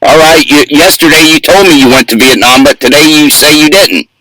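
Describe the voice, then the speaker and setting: A man's voice: on the high side, brisk, crowd noise. A man acting as an investigator, asking direct and persistent questions in a phone interview. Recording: distant-sounding phone call.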